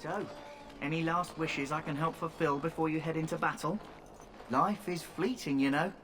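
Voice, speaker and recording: A man's voice: fairly high pitch, normal speed, noisy background, close to an everyday voice. A man voicing a cheeky young thief recruit in video game dialogue, with a teasing manner.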